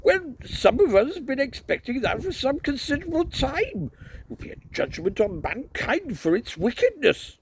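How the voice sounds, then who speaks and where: A man's voice: very high voice, very animated, normal speed, slight background noise, voice pushed up, exaggerated voice, poor audio. A man voicing an irritable, sanctimonious objecting priest in a storybook, with a Welsh accent and a snappish, argumentative manner.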